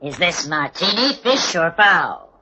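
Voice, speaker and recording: Low-voiced, curt old colonial innkeeper's wife. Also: female voice, sing-song, a little slow, pushed and bright, full character voice. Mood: plain, puzzled. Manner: archaic, curt phrasing. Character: surly, deferential older female colonial innkeeper's wife. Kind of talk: old-time radio drama acting. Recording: dull, noisy old radio recording.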